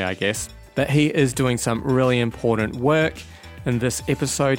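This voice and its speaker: New Zealand male host, mid-pitched, easygoing and upbeat, light music bed. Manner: friendly, upbeat, casual. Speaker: podcast host. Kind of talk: podcast intro and interview.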